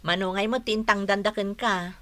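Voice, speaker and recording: A woman's voice: mid-range voice, steady tone, normal speed, bright, forceful tone, no echo, lightly acted. A woman with an Ilocano accent playing a nosy, outspoken village neighbour in a radio comedy drama. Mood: nosy, scolding.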